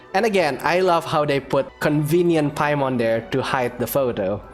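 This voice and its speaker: A woman's voice: rumbling low, animated, measured pace. A woman gaming creator giving casual, amused, chatty let's-play commentary, with game music behind her voice.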